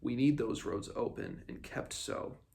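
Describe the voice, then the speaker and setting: A man's voice: mid-range voice, unhurried, gravelly, a little mellow. A man reading a fantasy novel aloud as a blunt, grave lord making a formal, firm appeal to his king. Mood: grave urgency.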